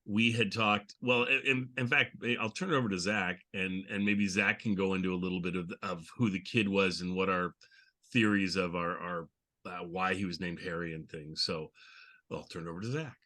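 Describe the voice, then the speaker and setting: A man's voice: low voice, moderate pace, sharp tone. A man hosting a casual podcast chat, easygoing and given to joking as he moderates. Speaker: podcast host.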